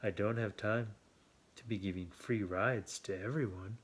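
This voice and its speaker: A man plays a tricky buzzard from a children's storybook. The bird is smooth-talking and coaxing, a sweetly persuasive schemer with a pushy streak. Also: lowish voice, fairly even intonation, a little slow. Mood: impatient. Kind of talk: children's storybook read-aloud.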